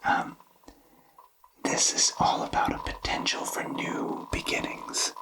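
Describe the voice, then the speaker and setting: A man giving a tarot reading in a breathy whisper, explaining gently with halting, hesitant phrasing. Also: far from the mic, low-quality recording. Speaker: tarot reader.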